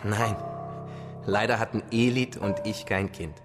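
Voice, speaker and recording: An actor playing a patient, solemn father-like survivor who tells stories gently and with measured phrasing in a radio drama. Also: fairly low pitch, steady tone, over loud music, natural voice. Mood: reflective. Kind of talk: radio drama acting, storytelling.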